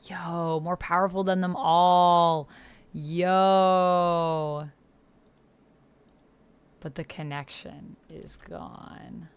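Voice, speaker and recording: Low-voiced woman streaming a roleplaying game. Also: fairly even intonation, extremely slow, long pauses, clear, resonant tone. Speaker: streamer playing a text-based roleplaying game. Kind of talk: casual livestream chat, reading typed game narration aloud.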